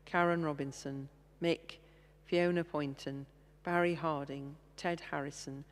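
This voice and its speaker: A woman leading intercession prayers in a church service, reading slowly and steadily at a stand microphone. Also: deep voice, fairly even intonation. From England. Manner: slow, measured reading. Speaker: intercessions leader. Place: large church, indoors. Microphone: stand mic, far camera. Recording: long church reverb.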